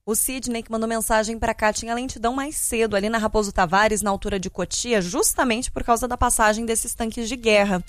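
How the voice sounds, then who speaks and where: A woman's voice: on the high side, steady tone, brisk, hardly any pauses, dry recording. A Brazilian woman presenting live radio news and traffic updates in a conversational, explanatory way. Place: radio studio.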